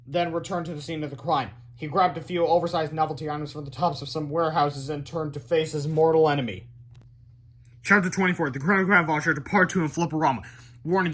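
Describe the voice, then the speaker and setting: A man reading a children's book aloud as an expressive storyteller. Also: a little high. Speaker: read-aloud reader.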